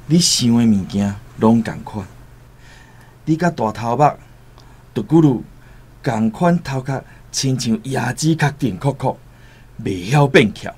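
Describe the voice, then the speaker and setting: An actor playing a stubborn, reasoning older brother with a Taiwanese accent and a measured, admonishing manner in a radio drama. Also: male voice, mid-range voice, darkish tone, slightly rough, full character voice.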